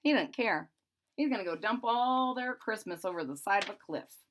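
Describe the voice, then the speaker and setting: A woman's voice: medium pitch, expressive, moderate pace, a bit bright, slight background noise. A woman reading a children's story aloud expressively, with simple casual asides explaining it as if to young children. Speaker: storybook reader.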